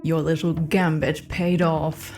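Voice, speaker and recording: A woman's voice: low voice, little pitch movement, slow, a little mellow, soft background music. A woman playing a scornful, sly captive android assassin in a sci-fi radio drama. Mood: wry, resigned.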